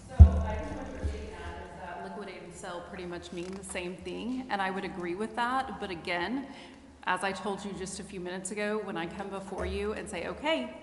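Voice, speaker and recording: Female adviser, explanatory talk, mid pitch, heavy echo, clear background noise. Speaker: city attorney advising council. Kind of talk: spoken explanation in a meeting. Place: indoor council chamber.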